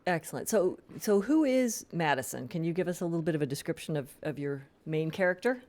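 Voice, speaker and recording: A woman's voice: lowish voice, lively intonation, a little fast, darkish tone. A woman hosting a casual podcast interview, attentive and encouraging as she puts questions to a guest. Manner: encouraging, attentive, frequent short affirmations.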